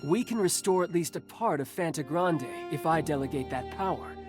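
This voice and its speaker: A woman's voice: sits low, animated, normal speed. A woman voicing a grandiose divine emissary in a video game, declaiming in lofty, archaic, theatrical phrases. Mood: assured. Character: grandiose divine emissary Primal, theatrical, exuberant, young-sounding. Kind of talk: scripted video game dialogue. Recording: orchestral music behind the voice.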